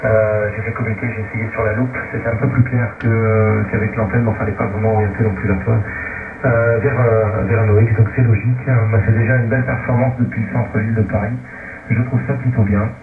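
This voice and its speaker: A man's voice: on the low side, level tone, quick pace, reverberant, recorded from a distance, narrow, tinny audio, over music. A man who is an amateur radio operator, chatting casually and giving signal reports over shortwave.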